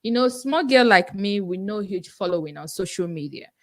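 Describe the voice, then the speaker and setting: A woman's voice: medium pitch, lively intonation, moderate pace. Podcast host, young woman, heavy Nigerian accent, earnest solo Q&A with lots of "um" and "you know.". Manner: earnest, self-encouraging, frequent fillers. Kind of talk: solo livestream Q&A.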